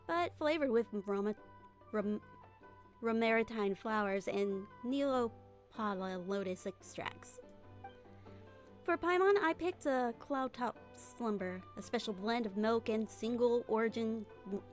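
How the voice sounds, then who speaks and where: A woman voicing a confident, easygoing young female mercenary in game dialogue, with breezy, informal persuasion. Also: on the high side. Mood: friendly, relaxed. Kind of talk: fan-dub game character dialogue. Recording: music behind the voice.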